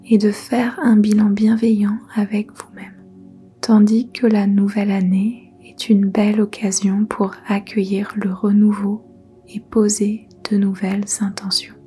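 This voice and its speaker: A woman leading a guided yoga nidra meditation in a soft, breathy near-whisper, soothing and gentle. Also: mid-range voice. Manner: soothing, gentle, unhurried instruction.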